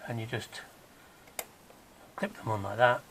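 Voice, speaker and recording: A man with a hoarse, croaky voice talks viewers through a car repair in a relaxed, chatty way. His pitch sits mid-range for a man, and he often drops to a low mutter while his hands are busy. Faint noise hums in the background. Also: unhurried. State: croaky, recovering from illness. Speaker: DIY car repair vlogger. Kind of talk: casual hands-on how-to tutorial.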